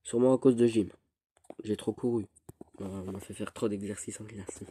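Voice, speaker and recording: A teenage boy with a cold, rambling through casual gameplay commentary with halting restarts. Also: fairly low pitch, steady tone, warm tone, slight background noise. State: stuffy with a cold. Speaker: gaming youtuber.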